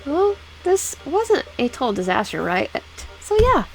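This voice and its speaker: A woman's voice: high voice, huge pitch swings, unhurried, pitched above the performer's own voice, exaggerated voice, poor audio. A woman as a cheerful young woman on a date. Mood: reassuring. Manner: upbeat, reassuring, teasing.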